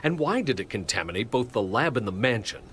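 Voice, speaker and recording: An actor playing an uneasy scientist in a video game, questioning things with incredulity. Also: male voice, medium pitch, sing-song, brisk, a bit bright, slight background noise. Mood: perturbed. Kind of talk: video game cutscene acting.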